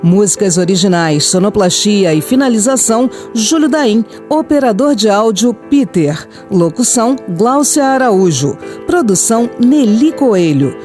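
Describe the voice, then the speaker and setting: A woman's voice: medium pitch, lively intonation, a little slow, hardly any pauses, darkish tone. A woman announcer with a Brazilian accent reading scripted programme credits. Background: faint music.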